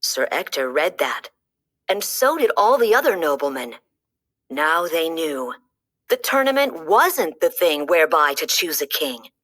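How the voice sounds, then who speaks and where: Lady reading a kids storybook out loud, kinda low voice, really bouncy expressive delivery. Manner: slow, lively storytelling with dramatic pitch swings. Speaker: storybook reader. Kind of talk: children's read-aloud narration.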